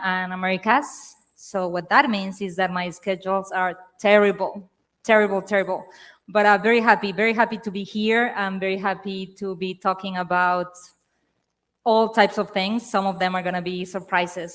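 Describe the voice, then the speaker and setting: A woman from Mexico with a Mexican accent, speaking as a panelist on a conference stage into a handheld mic, chatty and self-deprecating. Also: medium pitch. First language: Spanish.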